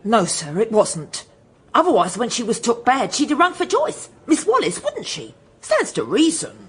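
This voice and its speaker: A woman's voice: fairly high pitch, wildly swooping pitch, normal speed, a bit bright, slightly rough, heavily acted. An actress playing a plain-spoken working-class servant woman giving emphatic, colloquial testimony in a radio drama. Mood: defensive, sure.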